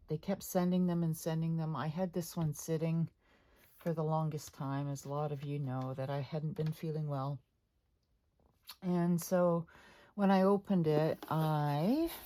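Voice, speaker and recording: A woman hosting her own art channel, chatting casually at her dining table. She rambles with many fillers and asides to viewers, her voice lower than usual today. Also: warm tone, a little hiss or hum. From Canada. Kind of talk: casual unscripted chat. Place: home dining room table.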